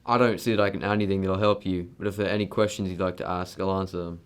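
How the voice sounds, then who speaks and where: A hot-tempered, wealthy tycoon in a radio mystery play, acted by a man. The voice is deep, level and very clear, blunt and commanding in delivery. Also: fairly even intonation, rapid-fire, close to an everyday voice. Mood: blunt, impatient. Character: Neil Gibson, imposing, blunt, hot-tempered wealthy mining magnate. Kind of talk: amateur radio drama acting.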